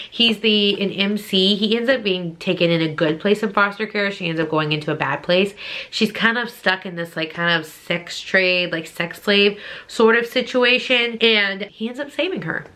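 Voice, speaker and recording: A woman with a very bright voice at a middling pitch gives her opinions as a book vlogger. She speaks casually and informally, wandering between points. She often repeats words to stress what she means. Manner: informal, rambling, emphatic repetition. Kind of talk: casual chatty opinion monologue to viewers.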